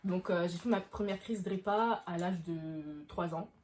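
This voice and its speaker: A woman's voice: lowish voice, unhurried, darkish tone. A woman, chatting informally to camera and explaining things, often rephrasing herself as she goes. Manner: informal, frequent self-rephrasing. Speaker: vlogger answering questions. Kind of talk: casual explanatory chat to camera.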